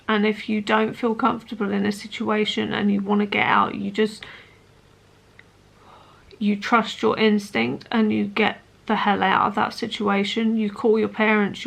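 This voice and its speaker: A woman in her late twenties talking personally to camera as a vlogger, in an earnest, hesitant, halting way. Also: medium pitch, gravelly, a bit bright. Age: late 20s.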